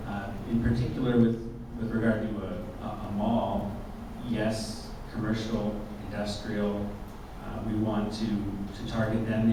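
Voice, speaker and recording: Halting man in an echoey arena. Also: fairly low pitch, far from the mic, noisy background. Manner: halting, with many restarts. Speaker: city staff presenter. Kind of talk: answering public questions.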